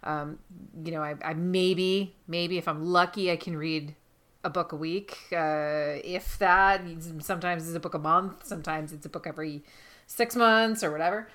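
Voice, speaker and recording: A woman's voice: on the low side, steady tone, normal speed, a bit bright. A woman guest on a podcast, chatting casually about books with hesitant phrasing and trailing asides.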